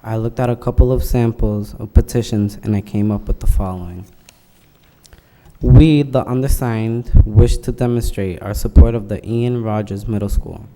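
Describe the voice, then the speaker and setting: Guy reading a petition kinda halting, dark mellow voice, far off mic in a big echoey hall. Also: on the low side, fairly even intonation. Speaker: public commenter at school committee meeting. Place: public meeting hall. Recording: reverberant hall, distant from mic.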